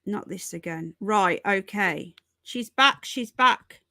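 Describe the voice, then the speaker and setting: A woman's voice: medium pitch, slow pace, pushed and bright, no room sound, studio quality. A woman hosting a casual live news stream from home, chatting to viewers, repeating herself and calling them folks and darling. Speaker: livestream host.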